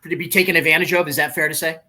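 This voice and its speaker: A man hosting a podcast interview, energetic and prone to interrupting and repeating himself. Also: on the high side, very fast. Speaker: host.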